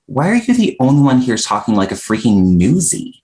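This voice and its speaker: A man's voice: medium pitch, wildly swooping pitch, a little fast, darkish tone. A man acting a frank, exasperated young non-binary dinner guest in a comedy script table read, talking in a candid, wry way. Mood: exasperated, sardonic.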